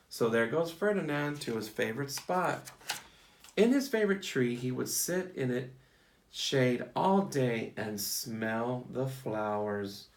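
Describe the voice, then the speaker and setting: Male early-years teacher, slightly high voice, lively intonation, reads a picture book and prompts listeners with questions and praise. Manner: asks questions, praises and repeats answers in short phrases. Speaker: teacher reading a picture book to young children. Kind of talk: interactive storybook read-aloud.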